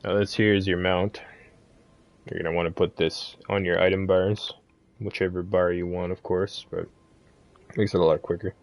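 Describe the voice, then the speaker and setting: A man's voice: low-pitched, level tone, normal speed, long pauses. A man giving a casual, easygoing game walkthrough as a streamer. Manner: laid-back, easygoing. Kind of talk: casual game guide commentary.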